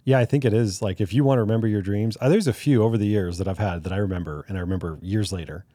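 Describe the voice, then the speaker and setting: A young man hosting a podcast, chatting casually with his guest in a relaxed, talkative way. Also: on the low side, racing, no room sound, clean studio sound. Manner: relaxed, chatty, tells anecdotes. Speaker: podcast host. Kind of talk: casual interview chat.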